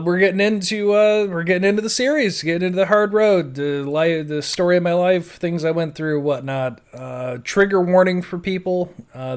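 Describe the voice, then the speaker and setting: Male, 30s, American, slightly high voice. Informal podcaster, unscripted and blunt, loose rambling delivery. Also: clear, resonant tone. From western New York State, USA. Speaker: podcaster telling his life story. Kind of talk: casual unscripted audio blog.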